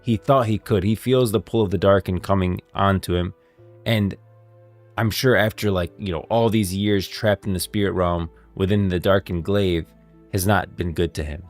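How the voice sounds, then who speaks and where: A man's voice: sits low, fairly even intonation, moderate pace, faint music behind. A man doing casual live-stream commentary, talking in an emphatic, repetitive, slangy way.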